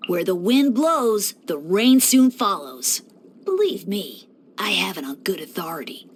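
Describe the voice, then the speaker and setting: A woman's voice: medium pitch, big pitch swings, a little slow, breathy edge. A woman voicing a playful young male church deacon in a video game, breezy and teasing with theatrical mock solemnity. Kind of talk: scripted video game character voice lines.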